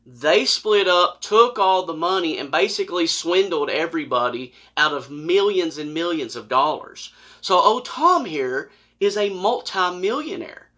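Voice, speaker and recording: A middle-aged man with an American accent telling a personal story casually, in a folksy, animated way and acting out dialogue. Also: sits high, measured pace. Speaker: storyteller, former law enforcement officer. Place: small wood-paneled room indoors, seated on a couch.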